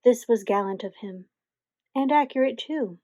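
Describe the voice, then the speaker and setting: A woman's voice: a little high, moderate pace, warm tone, no room sound. A young woman reading scripted narration to introduce a radio play. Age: young adult.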